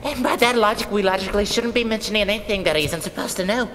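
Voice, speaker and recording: A woman's voice: medium pitch, fast talker, a bit bright, a little hiss or hum, close to an everyday voice. Prim, argumentative actress's female voice, heavily reverberant. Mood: flustered. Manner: precise, formal, argumentative. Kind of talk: radio play acting. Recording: long reverb, music behind. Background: music.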